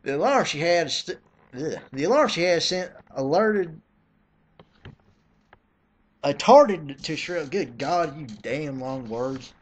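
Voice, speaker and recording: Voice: medium pitch, expressive, slow, long pauses. Theatrical, sarcastic dramatic reader. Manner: theatrical, exasperated, breaks off for snarky remarks. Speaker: reader of fan fiction. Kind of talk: mock dramatic reading with sarcastic asides.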